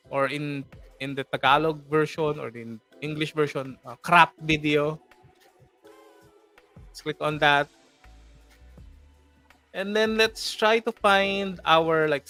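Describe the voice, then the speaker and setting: A man's voice: fairly high pitch, sharp tone. A man with a Filipino accent hosting a live screen-share tutorial, chatty and full of fillers, with joking asides to viewers. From Philippines. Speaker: host.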